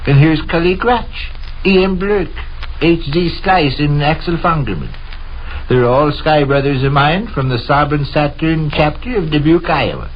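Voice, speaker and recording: A man's voice: a little high, normal speed, natural voice. A man playing an exasperated, wordy, stuffy American bookkeeper husband in a 1930s radio comedy. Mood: exasperated, pompous. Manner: pompous, wordy, indignant. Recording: dull, noisy 1930s radio recording.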